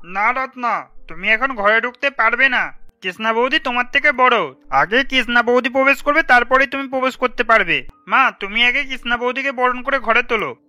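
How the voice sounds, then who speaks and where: A man's voice: extremely high pitch, moderate pace, bright, forceful tone, soft background music, heavily acted. A man voicing a firm, fair-minded young son in a cartoon, speaking insistently. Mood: firm objection.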